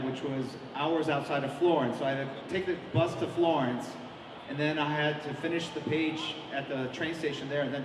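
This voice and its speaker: A middle-aged comic artist answering interview questions at a convention, with many ums and restarts. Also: male voice, fairly high pitch. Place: large convention hall. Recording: echoey hall, mic at a distance, crowd noise.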